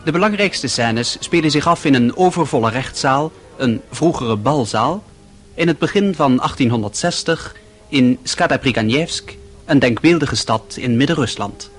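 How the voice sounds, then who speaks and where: A man reading a formal, measured scripted announcement as a radio drama announcer. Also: mid-range voice, animated, faint music behind.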